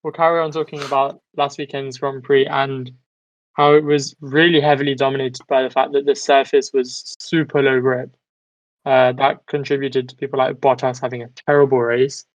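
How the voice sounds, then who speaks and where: A man's voice: mid-range voice, little pitch movement, moderate pace, no room sound, rough recording. A man hosting a casual sports discussion, giving opinions and putting questions to the others in an argumentative way. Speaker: podcast host steering discussion.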